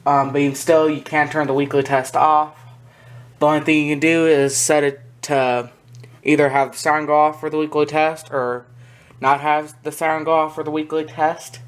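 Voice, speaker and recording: Hobbyist vlogger, medium pitch, casual walkthrough, halting delivery, trailing sentence endings. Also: level tone. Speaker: collector presenting a hobby video. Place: indoors in a small room at home. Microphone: handheld camera or phone near the speaker.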